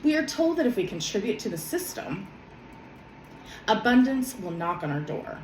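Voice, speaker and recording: A woman, a little hoarse from a cold, talking gently with many pauses and self-corrections, then reading her own journal. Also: mid-range voice, sing-song, roomy sound, a little hiss or hum, rough recording. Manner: soft, hesitant, many ums and self-corrections. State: slightly hoarse from a summer cold. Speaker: vlogger.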